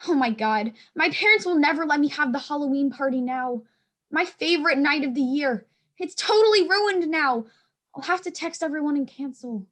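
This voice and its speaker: A woman's voice: sits high, lively intonation, moderate pace, fairly bright tone. A woman playing an anxious, dramatic schoolgirl in a staged play reading, with a fretful manner. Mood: indignant, fretful.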